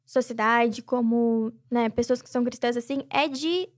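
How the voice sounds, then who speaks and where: Young woman, slightly high voice. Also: steady tone, measured pace. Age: young adult. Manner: reflective, many filler tags. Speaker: guest, NGO president. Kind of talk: casual interview talk.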